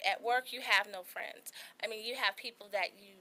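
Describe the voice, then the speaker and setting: A woman's voice: medium pitch, fairly even intonation, normal speed, pushed and bright, poor audio. A woman in her forties with a small, light voice, telling her own story as a guest on a talk show, earnest and candid. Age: 40s.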